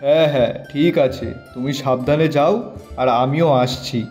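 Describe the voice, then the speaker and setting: A man's voice: mid-range voice, steady tone, normal speed, darkish tone, faint music behind, close to an everyday voice. A man playing a nosy, kindly younger farmhand in a cartoon, with an inquisitive, exclamatory way of talking. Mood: cheerful. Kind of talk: animated moral story dialogue.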